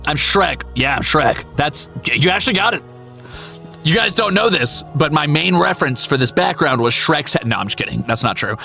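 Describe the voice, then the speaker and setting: A man streams casually over soft background music. His voice is bright and forceful with plenty of gravelly fry. He talks candidly and playfully, swearing and mocking himself as he answers viewers. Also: on the high side, lively intonation, moderate pace. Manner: candid, playful, profane, self-deprecating. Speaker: VTuber streamer and voice actor.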